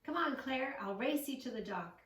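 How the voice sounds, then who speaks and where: A woman's voice: sits high, animated, normal speed, a bit bright, pitched above the performer's own voice. A woman voicing an enthusiastic, friendly young girl on a camping trip in a children's storybook. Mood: excited. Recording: roomy, echoing, distant microphone.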